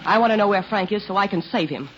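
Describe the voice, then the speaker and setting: An actress playing a bold, taunting nightclub singer in a 1940s radio melodrama, with a challenging, dramatic delivery. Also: female voice, mid-range voice, fast, close to an everyday voice. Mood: urgent, determined. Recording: dull vintage radio recording with noise.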